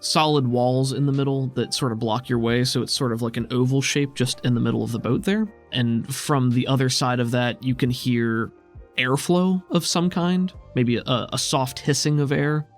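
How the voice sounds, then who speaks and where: A man's voice: mid-range voice, fairly even intonation, normal speed, soft background music. A man acting as game master, improvising descriptive narration for a tabletop game and explaining scenes as he goes.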